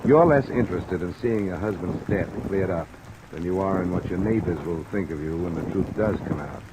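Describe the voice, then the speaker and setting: An actor playing a blunt, unflinching American insurance investigator, clipped and matter-of-fact, in the style of 1950s radio detective drama. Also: male voice, deep voice, moderate pace, close to an everyday voice. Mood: firm, pressing. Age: young adult. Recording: old radio recording under loud rain noise. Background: loud rain.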